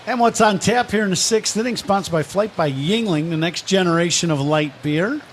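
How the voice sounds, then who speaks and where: Man, baseball radio analyst, slightly high voice, live color commentary, light ballpark background noise. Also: moderate pace. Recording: faint ballpark ambience behind the voice. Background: ballpark ambience.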